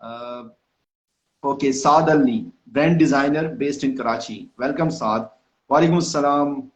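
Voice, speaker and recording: A man's voice: medium pitch, unhurried, roomy sound, poor audio. A man hosting a live stream, greeting viewers one by one and hesitating often with fillers. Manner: hesitant, many fillers and restarts. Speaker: host. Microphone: phone or video call mic.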